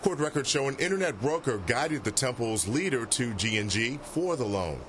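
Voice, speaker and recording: A man narrating a TV news report in a steady, measured way. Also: mid-range voice, slight background noise.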